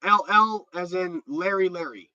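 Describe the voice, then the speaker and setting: A congested man rambles through a casual livestream and reads out his viewers' comments. His voice is very bright and edgy and sits slightly high. The audio is dry and dull, like a lo-fi stream. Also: measured pace, dry recording. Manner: rambling and chatty, with repeated restarts. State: stuffed up. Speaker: livestream host.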